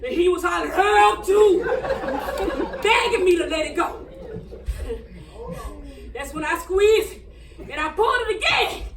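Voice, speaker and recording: A woman performing a dramatic monologue as a frightened, defiant young country girl, telling her story haltingly and with great emotion. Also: expressive, crowd noise. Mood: frantic. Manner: halting, repeating words, highly emotional storytelling. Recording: echoey room, distant mic, faint noise.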